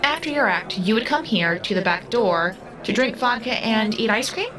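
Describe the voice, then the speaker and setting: A woman's voice: a little high, animated, normal speed, lightly acted. A woman acting in an audio drama as a solemn, literal-minded girl who speaks formally and precisely. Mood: frank, curious. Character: solemn, literal-minded all-seeing girl under eighteen. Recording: busy club crowd and music behind.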